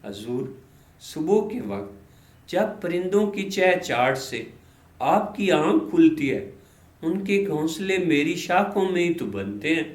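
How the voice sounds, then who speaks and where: A man voicing an old banyan tree, calm and gentle, caring and respectful. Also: a little high, roomy sound. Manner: slow-spoken, soft, serene.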